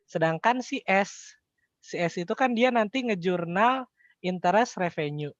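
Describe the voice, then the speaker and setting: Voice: mid-range voice, lively intonation, a little slow, dry recording, lo-fi sound. A tutor with an Indonesian accent explains things step by step in an informal, colloquial way, often posing questions and answering them. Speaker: accounting tutor.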